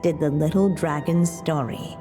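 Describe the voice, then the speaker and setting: An actress gives a calm female fairy-tale narrator in a game a low, mellow voice. She takes her time, shaping each lyrical phrase with solemn care over soft music. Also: close to an everyday voice. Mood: gentle storytelling. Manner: measured, lyrical storytelling.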